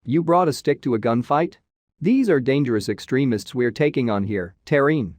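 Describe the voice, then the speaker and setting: A pragmatic spacefaring adventurer for a sci-fi game, voiced by a man. He has an ordinary mid-pitched voice and talks in a casual, direct, slightly dry way. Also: lively intonation, normal speed, a little mellow, dry recording, lightly acted. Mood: surprised, wry. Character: adult human space pilot, pragmatic, wry adventurer.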